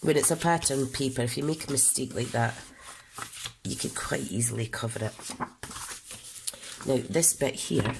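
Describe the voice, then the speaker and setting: A woman's voice: extremely low pitch, moderate pace, gravelly, pauses often, background noise. A woman from the UK demonstrating a papercraft project, congested and coughing, chatty and correcting herself as she goes. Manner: chatty, stops to self-correct and explain. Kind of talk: casual craft tutorial.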